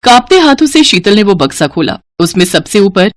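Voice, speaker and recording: A woman's voice: medium pitch, very animated, normal speed, dry recording. Woman narrating a cartoon family story, dry sound.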